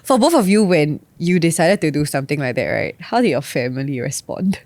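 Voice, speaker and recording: A woman's voice: low voice, lively intonation, brisk, a little mellow, dry recording. A Singaporean woman hosting a casual chat, asking questions in a playful, teasing way. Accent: Singaporean English. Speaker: podcast host. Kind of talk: casual podcast interview.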